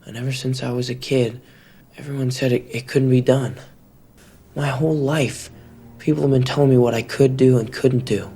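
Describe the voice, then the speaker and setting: A man's voice: mid-range voice, fairly even intonation, normal speed, dark tone, lightly acted. Man playing an earnest young man, music behind. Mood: earnest resolve. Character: earnest, determined young man chasing a college dream.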